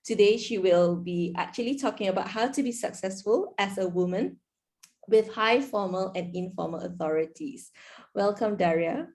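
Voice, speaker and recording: A woman's voice: mid-range voice, normal speed, a little mellow. Woman host, mid-range voice, friendly and upbeat, leading a video-call interview. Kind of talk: hosting an online interview.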